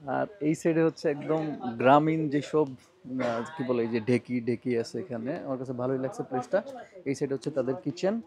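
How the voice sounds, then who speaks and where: A man's voice: medium pitch, steady tone, a little fast, a crowd in the background. A man with a Bangladeshi accent narrating a casual vlog informally, dropping English words into his talk. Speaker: vlogger.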